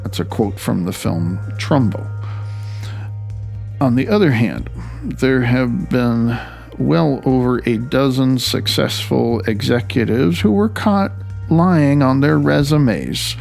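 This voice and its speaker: A man narrates nonfiction as the author of the book. His voice is mid-pitched with plenty of gravelly fry. He phrases things deliberately, drawing out words he wants to stress. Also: animated, a little mellow, soft background music. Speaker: author reading own audiobook.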